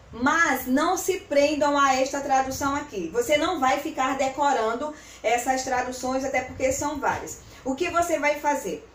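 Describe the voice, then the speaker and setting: A young Brazilian woman giving an informal, chatty English lesson to camera, encouraging as she explains. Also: sits high. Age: young adult. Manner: chatty, encouraging, animated with tag questions. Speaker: English teacher and video host. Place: small bare-walled room indoors with whiteboard. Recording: echoey room, distant mic, faint hiss.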